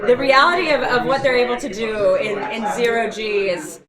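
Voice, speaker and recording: A woman improvising banter in character at a tabletop roleplaying game, in a halting, hedging way. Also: a little high. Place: large hall at a gaming convention. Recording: echoey live hall, loud crowd.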